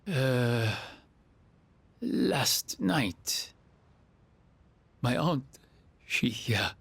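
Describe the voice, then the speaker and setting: A man's voice: medium pitch, expressive, drawn-out words, fairly bright tone, husky, dry recording, heavily acted. A man voicing an earnest, sensitive foreign student in a game, with hesitant, formal, emotional delivery. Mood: sad, hesitant. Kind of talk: game voice acting.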